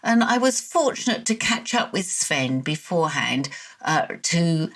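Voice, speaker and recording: A woman's voice: low voice, big pitch swings, measured pace. A woman co-hosting a podcast, reading a scripted introduction. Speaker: podcast co-host.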